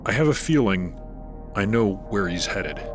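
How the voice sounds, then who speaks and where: An actor playing a careful male scientist who speaks in a measured, clinical way in an audio drama. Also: lowish voice, animated, loud music behind the voice, natural voice. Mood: guarded. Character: cool, guarded male scientist studying the marsh.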